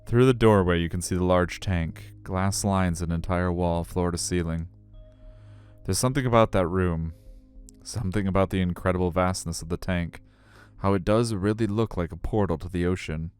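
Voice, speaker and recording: A middle-aged male streamer reads a visual novel and chats casually between passages. His voice is deep and resonant, with faint music playing underneath. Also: moderate pace, darkish tone, clear, resonant tone. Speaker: game streamer. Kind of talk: live stream chat and visual novel narration.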